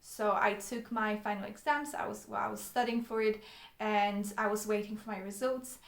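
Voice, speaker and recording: A woman's voice: mid-range voice, fairly even intonation, normal speed, creaky, roomy sound. A young woman from Poland, Polish her first language, telling her life story to the camera in an earnest way with frequent ums and restarts. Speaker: vlogger.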